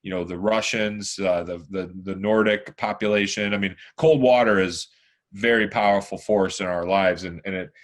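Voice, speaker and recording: A man in his forties with a deep, hoarse voice, talking at length as a podcast guest, rambling with lots of fillers and restarts. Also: steady tone, moderate pace. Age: 40s. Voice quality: deeper, hoarse voice. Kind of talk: casual chat.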